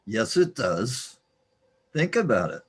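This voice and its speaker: A philosophical spirit-realm guide, acted by a man in a sci-fi radio play. The rough, middle-pitched voice scolds slowly, rising and falling dramatically. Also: very animated, full character voice. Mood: lecturing.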